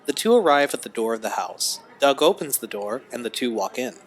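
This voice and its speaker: A man's voice: medium pitch, sing-song, fairly quick. A man reading scripted scene narration for an audio drama, describing the action as narrator. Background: music.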